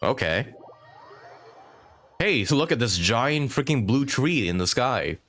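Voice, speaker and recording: A man giving casual, halting and rambling live commentary while playing a video game. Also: medium pitch, very animated, a bit bright. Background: video game music.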